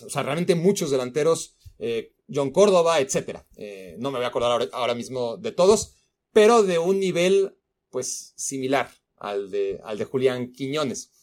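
A man with a Mexico City Spanish accent hosting a football podcast, talking in a rambling casual monologue full of fillers and swearing. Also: mid-range voice. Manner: rambling, frequent fillers and profanity.

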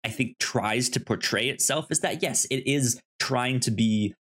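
A man co-hosting a movie podcast, talking casually and haltingly, often repeating words as he thinks aloud. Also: mid-range voice, a bit bright. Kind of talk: casual film discussion.

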